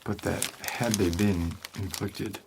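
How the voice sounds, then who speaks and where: Man acting a shady detective, low gravelly voice, deadpan ASMR roleplay, halting delivery, noisy recording. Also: heavily acted. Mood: deadpan, musing. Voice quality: low, creaky. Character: laid-back, shady male homicide detective, darkly deadpan.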